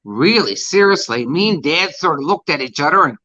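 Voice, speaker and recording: A woman's voice: low voice, animated, a little fast, sharp tone, dry recording, dull audio. A woman with a Newfoundland accent, casually telling anecdotes in a group chat. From Newfoundland, Canada.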